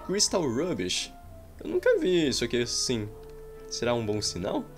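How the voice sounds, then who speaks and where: A man's voice: mid-range voice, wildly swooping pitch, moderate pace, faint music behind. A man giving playful, casual commentary over a game, adding his own combat sound effects, recorded at a home desk mic. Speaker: gaming YouTuber. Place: small room at home.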